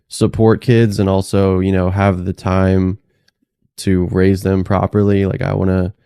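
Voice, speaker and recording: A man in his late twenties hosting a casual interview, relaxed and encouraging, dropping "dude" and "like" often. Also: low-pitched, clean tone, dry recording, studio quality. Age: late 20s. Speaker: podcast host.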